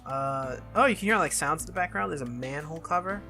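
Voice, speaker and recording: Bright-voiced game narrator over music. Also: medium pitch, fast talker. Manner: expressive, reacts aloud while reading. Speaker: video game playthrough host. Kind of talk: reading a visual novel aloud with casual commentary.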